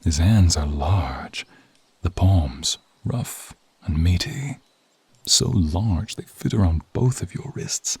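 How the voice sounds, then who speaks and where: A man's voice: sits low, dark tone, slightly rough, no room sound. A man narrating a scripted ASMR roleplay in the second person, dramatic and intimate, often dropping toward a whisper.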